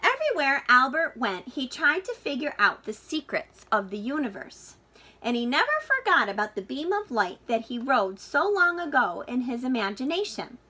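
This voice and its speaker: Bright, high-voiced woman reading a storybook aloud. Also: slightly rough. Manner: expressive, deliberate emphasis with drawn-out key words. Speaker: teacher reading a picture book aloud. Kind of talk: read-aloud storytelling for children.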